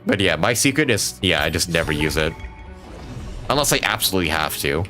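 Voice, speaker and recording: Man, game streamer, lively swinging intonation, low pitch, casual, rambling. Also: fairly quick, music in the background. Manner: rambling, thinking aloud. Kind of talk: casual live gameplay commentary.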